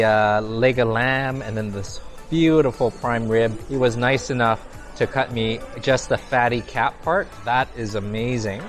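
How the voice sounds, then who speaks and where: A middle-aged man giving a casual, appreciative food review to camera as a vlog host. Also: medium pitch. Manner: appreciative, exclaiming over food. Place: large busy buffet restaurant, indoors. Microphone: clip-on lapel mic. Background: background music.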